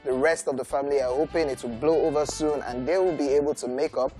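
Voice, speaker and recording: A man's voice: a little high, steady tone, fast talker, warm tone, soft background music. A Nigerian man co-hosting an entertainment show, chatting with plenty of fillers and repeated words, then reading out a news item.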